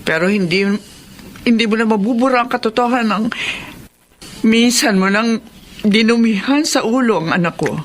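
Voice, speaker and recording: A woman playing a hurt, stern older mother-in-law in a Philippine radio drama, speaking in a measured way. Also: fairly high pitch, natural voice. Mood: stern, reserved. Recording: faint background noise.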